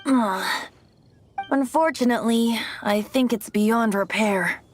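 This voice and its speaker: A woman's voice: a little high, takes it slowly, a little hiss or hum. A woman voicing a playful, boyish young male wandering bard in a video game, breezy and teasing. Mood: wry, teasing.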